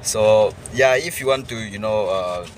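A man's voice: on the low side, unhurried, slight background noise. A young man chatting casually and jokingly into a phone held at arm's length, rambling with lots of fillers. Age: young adult. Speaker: vlogger. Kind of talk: casual vlog chat. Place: car, then a restaurant.

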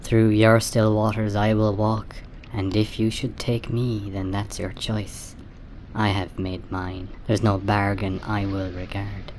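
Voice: low voice, little pitch movement, slow pace, darkish tone. An actor playing a grieving, defiant wife and mother in a radio play, solemn, poetic and impassioned. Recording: noisy ambience around the voice. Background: ambient noise.

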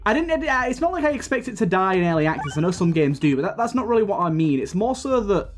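A man with a slightly raspy voice from a coming cold, chatting casually as he plays and comments on a video game, thinking aloud with plenty of fillers. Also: high voice, big pitch swings, fast talker. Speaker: gaming video host. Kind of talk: casual let's-play commentary. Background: faint game music.

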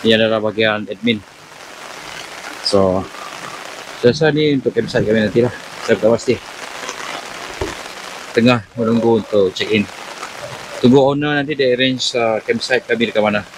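A man's voice: lowish voice, normal speed, long pauses, background noise. A man narrating a casual camping vlog, informally mixing in English words. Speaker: camping vlogger.

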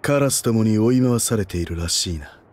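A Japanese actor playing a stern, disdainful major general in an anime game. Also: male voice, fairly low pitch, expressive, brisk, a little mellow, natural voice. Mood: cold, stern.

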